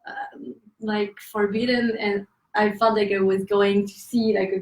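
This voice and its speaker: A woman's voice: mid-range voice, steady tone, unhurried, a little mellow, distant mic. A woman with a French accent, answering interview questions as an author, hesitant with many fillers and laughing asides. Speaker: author guest. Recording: roomy echo, off-mic.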